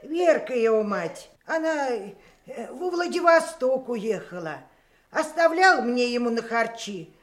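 A woman's voice: fairly high pitch, big pitch swings, a little slow. An actress playing an offhand, grumbling woman minding someone else's child in a Soviet radio drama, colloquial and dismissive.

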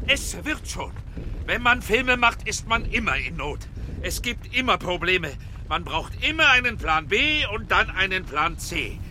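An actor playing a commanding, resilient film director in a radio drama. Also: male voice, high voice, sing-song, measured pace, pushed and bright, slight background noise, exaggerated voice. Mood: reassuring, resolute.

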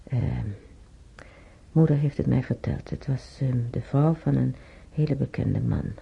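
An actor playing an elderly man who haltingly reminisces in a radio drama, searching his memory and muttering to himself. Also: medium pitch, gravelly, husky, full character voice. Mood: reminiscing. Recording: muffled old radio drama recording.

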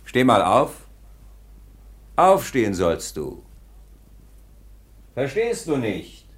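Gruff police sergeant, swinging pitch. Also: male voice, huge pitch swings, drawn-out words, exaggerated voice. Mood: stern, threatening. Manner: brusque, commanding, officially clipped. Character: gruff, impatient 19th-century police sergeant. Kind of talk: German radio drama acting.

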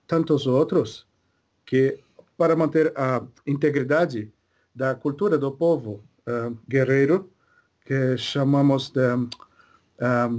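A man channeling a spirit guide, speaking haltingly with frequent pauses as he hunts for each word. His voice sits at an ordinary middle pitch. The delivery is careful and unhurried. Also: a little mellow. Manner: hesitant, searches for words, many pauses. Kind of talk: slow halting spiritual talk.

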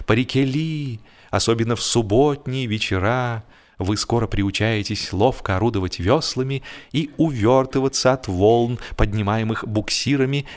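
A man narrating comic literary prose as an audiobook, theatrical and expressive, with deliberate pauses and repetitions. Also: mid-range voice. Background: music.

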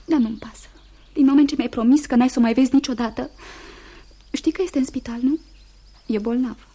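An actress playing a passionate, jealous young woman in love in a Romanian radio play, with a breathy, dramatic delivery. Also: high-pitched, mellow. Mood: pleading, insistent. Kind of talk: Romanian radio theatre acting.

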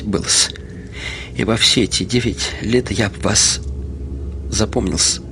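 An actor playing an obsequious, wounded, feverishly devoted middle-aged gentleman in a radio play, speaking with servile deference in broken phrases that swing from hushed to impassioned. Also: lowish voice, natural voice. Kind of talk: Russian radio play acting.

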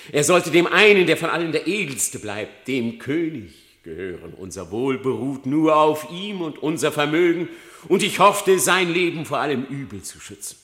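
A crafty, flattering fox pleading before his king in a classic radio drama. His voice is fairly low but sharp and bright, stretched out slowly with grand, wheedling rhetoric in an echoing room. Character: sly, flattering male fox pleading at the royal court. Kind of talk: classic German radio drama verse acting.

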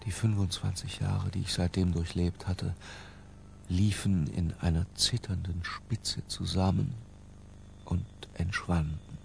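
An actor playing an obsessive, lyrical middle-aged intellectual who confesses in a hushed, deliberate literary radio drama narration. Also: male voice, low-pitched, flat delivery, vocal fry, a little hiss or hum, exaggerated voice. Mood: feverish reverie. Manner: hushed, deliberate, breaking into near-whispers.

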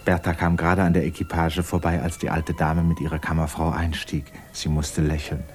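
Low male narrator, really expressive, old radio drama storytelling, violin music behind. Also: a little fast.

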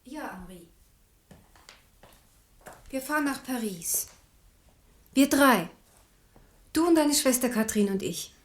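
A woman acting a proud, devout queen mother, embittered and resolute, with a grave, measured delivery in a German radio play. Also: high voice, lively intonation. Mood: grave, warning.

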